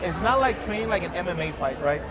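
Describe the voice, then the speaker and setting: A man's voice: high voice, animated, fast. A man hosting a casual interview in a casino, rambling with many fillers and restarts. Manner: rambling, lots of fillers and repeats. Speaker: interview host. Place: casino, busy public area. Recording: loud background noise.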